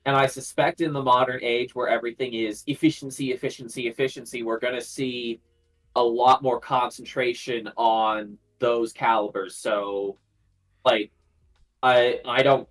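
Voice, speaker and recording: A man's voice: mid-range voice, fairly even intonation, normal speed, a bit bright, poor audio. A man in a casual, speculative hobby discussion, starting hesitantly and repeating words for emphasis. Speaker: panelist in a group discussion.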